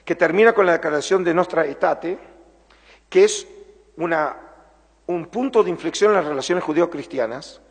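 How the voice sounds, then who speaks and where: A middle-aged Argentine man giving an earnest public lecture, measured and slowing for emphasis. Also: fairly high pitch, breathy edge. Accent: Argentine Spanish. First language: Spanish. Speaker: lecturer, museum curator. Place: large former synagogue hall. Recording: long hall reverb.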